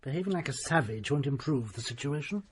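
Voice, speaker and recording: An actor playing a cool, superior family doctor in a radio drama, reproving and smooth. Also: male voice, medium pitch, lively intonation, fast. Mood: condescending. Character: cool condescending family physician.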